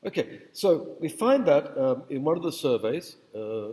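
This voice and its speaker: A man's voice: on the high side, very animated, a little slow, mellow. A man giving a university lecture in a conversational, digressive way, often checking in with the audience. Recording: echoey hall with long reverb.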